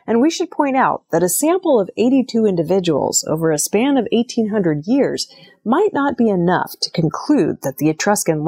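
A woman presenting scripted news in a measured, formal read delivery. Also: medium pitch, dark tone.

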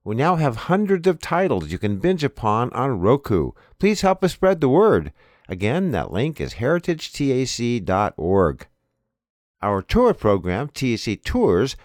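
Male news host, scripted read, lively swooping pitch, very dry recording. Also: no room sound. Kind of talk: scripted news summary and announcements.

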